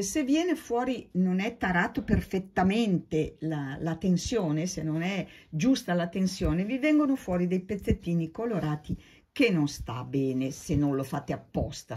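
A woman's voice: lowish voice, husky. An older Italian woman with an Italian accent, patiently explaining a quilting project to viewers in a casual craft tutorial. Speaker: quilting teacher.

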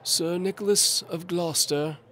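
A man voicing a pompous old medieval duke who declaims slowly in an audio drama. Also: high-pitched, steady tone, pushed and bright, slight background noise, voice pushed up. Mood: pompous, accusing.

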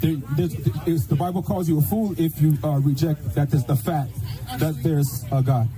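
High-voiced insistent male street preacher. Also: steady tone, normal speed, mellow. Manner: insistent, stammers and repeats words. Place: outdoors on a street. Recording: clip with music playing over it.

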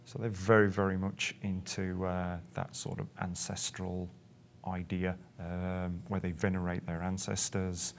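A middle-aged man explaining his work as an interview guest, in a halting, word-repeating manner. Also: deep voice, level tone, a little hiss or hum. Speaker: guest, illustrator.

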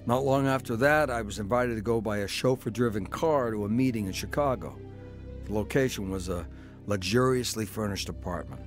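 A man's voice: fairly low pitch, a little fast, faint music behind, lightly acted. An actor playing a troubled man, earnest and reflective, in a scripted first-person radio drama. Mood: reflective, grave. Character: earnest, troubled man recounting his own past.

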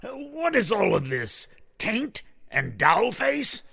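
Gravelly, exasperated, pompous theater director. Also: male voice, sits high, wildly swooping pitch, slow pace, sharp tone, no echo, studio quality, heavily acted. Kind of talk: comedy audio drama acting.

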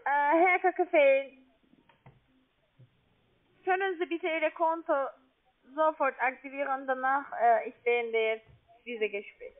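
Female telesales agent, non-native with foreign accent, high voice, halting delivery, phone-line sound. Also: steady tone. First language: not German. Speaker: call centre account agent selling online trading. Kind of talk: phone sales pitch. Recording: tinny, narrow phone line.

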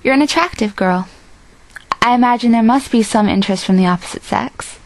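A woman's voice: on the high side, brisk, dry recording, lightly acted, poor audio. A young woman voicing a poised, menacing female rival with formal phrasing in an amateur audition. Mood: coolly insinuating. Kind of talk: amateur audition line reading.

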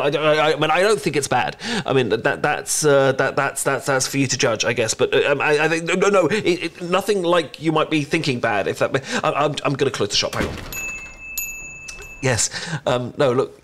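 A man with a British accent playing a shy, smitten young chocolatier in an ASMR roleplay, speaking in nervous, halting stammers. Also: a little high, fairly bright tone, close to an everyday voice. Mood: nervous, flustered. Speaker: voice actor in an audio roleplay. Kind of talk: ASMR roleplay, one-sided intimate conversation.

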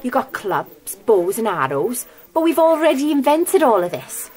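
An actress playing a polite, curious archaeologist in an audio drama, earnest and questioning. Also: female voice, on the high side, sing-song, measured pace, darkish tone. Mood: exasperated.

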